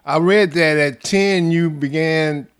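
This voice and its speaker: Man interviewer with a higher voice. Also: clean tone. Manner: measured, formal questioning. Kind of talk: interview questions.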